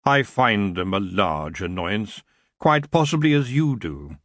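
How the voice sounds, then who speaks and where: Male narrator doing an ancient elf king: deep, unhurried, silky, menacing. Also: very animated, fairly bright tone, dry recording, clean studio sound. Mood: lazy. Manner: smooth, languid and slow.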